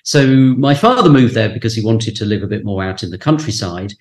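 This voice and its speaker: A man's voice: lowish voice, animated, brisk. A middle-aged man with a southern English accent, answering conversationally as a professor being interviewed. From southern England. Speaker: interview guest, university professor.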